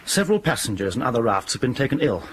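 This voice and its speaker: An actor playing a stern, authoritative airline captain in a radio drama, with a clipped, commanding manner. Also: male voice, medium pitch, sing-song, fast, a little hiss or hum, lightly acted.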